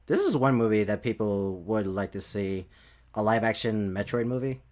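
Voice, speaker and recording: Guy hosting a casual gaming podcast, lowish voice, kinda hesitant and repeats himself. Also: expressive, moderate pace, darkish tone. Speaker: podcast host. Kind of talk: casual podcast chat about games.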